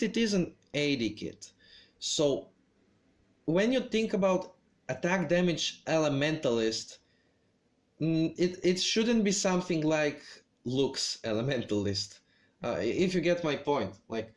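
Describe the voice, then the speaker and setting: A man delivering game commentary at an unhurried pace, stopping often to search for words. His voice sits a bit higher than most men's. He sounds opinionated but careful. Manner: hesitant, many fillers and restarts; opinionated, measured. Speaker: gaming video creator.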